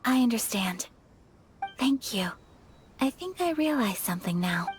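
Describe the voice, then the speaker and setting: A woman playing a gentle, earnest young noblewoman in a video game, speaking politely and formally in a soft, measured way. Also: a little high, animated, a little hiss or hum. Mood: hesitant, wistful. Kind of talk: English game voice acting.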